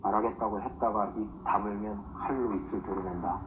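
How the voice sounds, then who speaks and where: An actor playing a cold, menacing gangster in a crime drama, heard as muffled, noisy playback. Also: male voice, lowish voice, steady tone, very fast, lightly acted. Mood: cold menace. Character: menacing male gangster issuing cold threats. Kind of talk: Korean crime drama screen acting.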